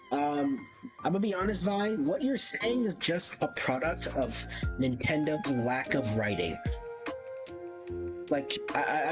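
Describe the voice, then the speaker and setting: A man giving casual livestream commentary, thinking aloud hesitantly and often correcting himself as he goes. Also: mid-range voice. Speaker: livestream host. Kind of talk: casual livestream commentary, ranking game characters. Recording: dull lo-fi mic, music behind. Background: background music.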